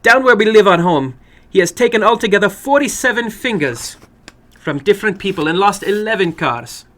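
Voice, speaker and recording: Man voicing a high, weary, apologetic older woman. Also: lively intonation, normal speed, a bit bright. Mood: exasperated. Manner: emphatic, apologetic, regretful. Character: small, rather old Spanish-speaking woman, apologetic, weary. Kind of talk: dramatic horror story reading.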